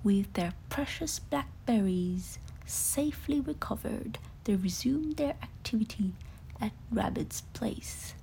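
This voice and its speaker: A woman narrating a children's bedtime story in an expressive, breathy way. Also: a little high, slow pace, slight background noise, low-quality recording.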